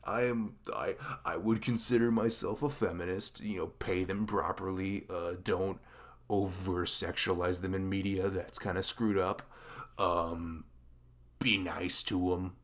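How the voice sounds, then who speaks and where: A man's voice: low voice, fairly even intonation, measured pace. A man playing a dorky, nervous young best friend in a conversational ASMR roleplay, rambling and stammering with self-deprecating humor. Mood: flustered, joking.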